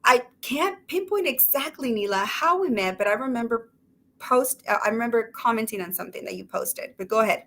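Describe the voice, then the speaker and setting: A woman's voice: fairly high pitch, expressive, fairly quick, pushed and bright. A woman co-hosting a casual interview chat, encouraging and enthusiastic toward her guest. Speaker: co-host.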